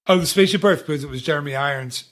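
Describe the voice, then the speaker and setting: A man's voice: on the high side, rapid-fire. A man hosting a podcast in casual chat, talking in a chatty, rambling way with many restarts. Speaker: podcast host.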